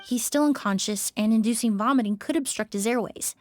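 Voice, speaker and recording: An actress voicing a composed female perfumer in a game, speaking in a calm, measured, precise way. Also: on the high side, slight background noise, close to an everyday voice. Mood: explaining. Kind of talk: game voice acting. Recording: dry studio recording.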